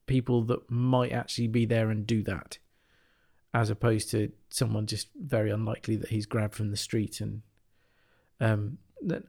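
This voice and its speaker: A man on a discussion panel, talking casually and thoughtfully in a halting, hesitant way. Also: mid-range voice, creaky, darkish tone. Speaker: podcast panellist.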